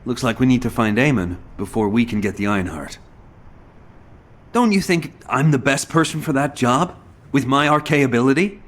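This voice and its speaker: A man plays a confident video game operative with a medium-pitched voice. He briefs briskly and in a businesslike way, sure of his own abilities. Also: lively intonation, close to an everyday voice. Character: brisk, self-assured military operative with arcane ability. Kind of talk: video game character acting.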